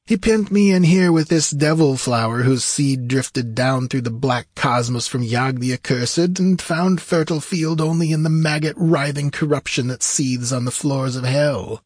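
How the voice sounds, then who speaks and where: A man's voice: medium pitch, steady tone, moderate pace, few pauses, darkish tone, dry recording, clean studio sound, voice pushed up, natural voice. A man voicing an aristocratic, wry sorcerer in a fantasy audiobook, with courtly, archaic and dramatic phrasing. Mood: bitter, haunted. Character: tall aristocratic sorcerer freed from long torment, eloquent, wry.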